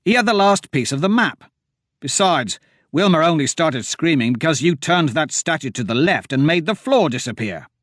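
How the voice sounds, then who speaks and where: A practical, level-headed male adventurer who talks his companions through trouble with calm reasoning, in a narrator's lightly raised voice. Lively in pitch, close and dry, in a storybook fantasy. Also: measured pace, hardly any pauses, no room sound, exaggerated voice.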